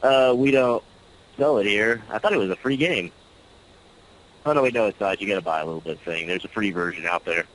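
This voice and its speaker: A man's voice: on the low side, a little fast, a bit bright. A man working as a store employee, casually answering a caller's questions on the phone. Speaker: store employee taking a call. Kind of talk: casual customer-service phone talk. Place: store, on a telephone. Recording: faintly noisy telephone line.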